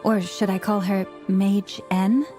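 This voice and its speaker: Woman as a mellow, composed young adventurer. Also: mid-range voice, little pitch movement, slow, soft background music, close to an everyday voice. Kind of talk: video game voice acting.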